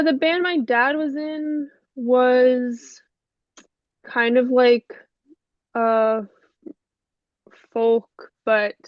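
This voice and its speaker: Stuffy young woman musician, rambling softly. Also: on the high side, no room sound. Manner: relaxed, rambling, many fillers and soft laughs. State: a little stuffy. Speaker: musician guest. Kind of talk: casual interview chat. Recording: dull lo-fi call audio, dry.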